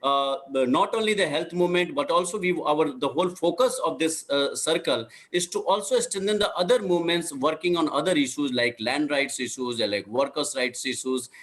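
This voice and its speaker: A man from India speaks as a health activist in a recorded statement. He halts often on repeated fillers, and his voice sits slightly high for a man. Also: a bit bright, poor audio. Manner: halting, many repeated fillers.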